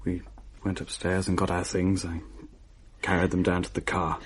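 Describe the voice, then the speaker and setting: Deep-voiced, haunted man telling a ghost story. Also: flat delivery, moderate pace, breathy edge. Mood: grim, subdued. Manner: hesitant, haunted, dramatic storytelling. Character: shaken man recounting a horrifying ghostly vision. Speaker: narrator and character in radio play. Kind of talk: dramatic radio play narration.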